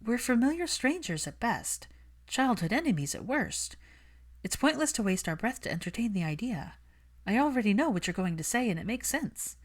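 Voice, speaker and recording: Audiobook role: guarded young woman acted by a woman reader, raised pitch, wordy, reasoning, uneasy and defensive. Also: sing-song, normal speed. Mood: guarded, insistent. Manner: careful, argumentative, wordy. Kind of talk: audiobook character dialogue.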